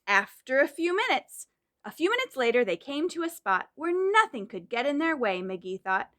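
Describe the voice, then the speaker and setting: Female children's storytime leader, bright and high, encouraging delivery aimed at little ones. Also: normal speed. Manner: animated, encouraging, speaks directly to young children. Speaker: children's librarian leading storytime. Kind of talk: children's storytime with singing and reading aloud.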